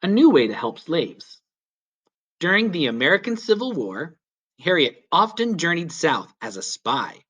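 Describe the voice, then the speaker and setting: Man reading aloud to children, very animated pitch. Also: unhurried, husky. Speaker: teacher reading a story aloud. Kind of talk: children's read-aloud narration.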